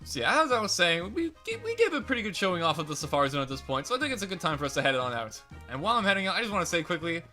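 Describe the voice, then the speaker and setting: A young man commentating a video game playthrough in a chatty, rambling way, his voice slightly raspy and crackly today. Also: sits high, huge pitch swings, rapid-fire, hardly any pauses, pushed and bright, soft background music. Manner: rambling, chatty, self-correcting. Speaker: let's play host.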